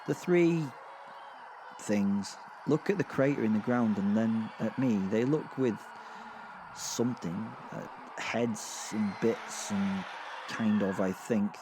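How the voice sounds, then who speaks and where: A man's voice: fairly low pitch, slow pace. Man playing a gleeful sadistic werewolf, noisy backdrop. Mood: fascinated, rambling. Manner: conversational, rambling, hesitant, darkly amused understatement. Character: gleeful, sadistic male werewolf killer marvelling at Hell. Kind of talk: first-person horror audio drama narration.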